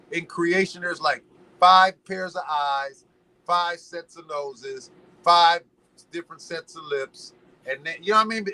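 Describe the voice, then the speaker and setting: Animated slangy man telling stories. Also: fairly high pitch, rough recording. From Los Angeles. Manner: animated storyteller, slangy, preachy musings. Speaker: podcast guest. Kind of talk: casual chat.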